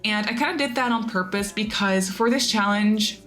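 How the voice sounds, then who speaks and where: A woman's voice: medium pitch, normal speed, fairly bright tone, soft background music. A woman who learned English as a second language, chatting in a rambling, casual voiceover, laughing at herself now and then and filling gaps with "um" and "like". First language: not English. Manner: rambly, chatty, many fillers and restarts. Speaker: Sims builder and YouTuber. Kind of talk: casual voiceover of a speed build video.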